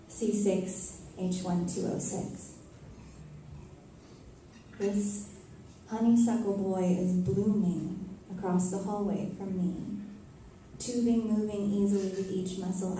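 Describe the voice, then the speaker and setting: Woman, theatrical monologue reader, mellow tone, deliberate pauses, very echoey noisy room. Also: medium pitch. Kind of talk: dramatic first-person monologue reading on stage. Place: live reading event in a tavern. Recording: echoey tavern room, distant mic, noisy.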